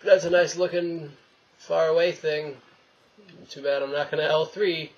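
A man's voice: on the high side, fairly even intonation, unhurried, highs cut off. A young man giving casual, wry gameplay commentary, swearing and talking to the game's characters. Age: young adult. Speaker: gamer, let's play host. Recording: noisy, game sound behind voice.

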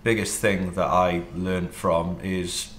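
A Welsh man in his thirties, a wedding videographer, answering interview questions in a relaxed way with frequent ums. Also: low-pitched, takes it slowly, reverberant, a little hiss or hum. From Wales. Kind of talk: casual interview. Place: home studio office, indoors.

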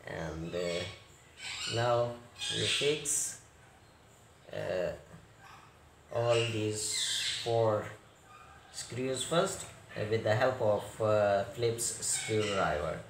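A man presents a hands-on repair tutorial at a slow pace, in short halting fragments with non-native phrasing. His pitch sits in the middle for a man. The recording is roomy and echoey, with clear noise behind the voice. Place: indoor repair workbench.

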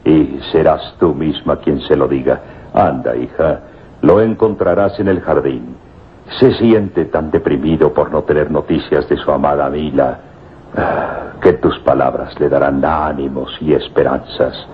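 An actor playing an earnest, fatherly professor with a measured, formal manner in an old radio drama. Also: extremely low pitch, breathy edge, exaggerated voice. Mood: tender. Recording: dull old radio recording, faint noise.

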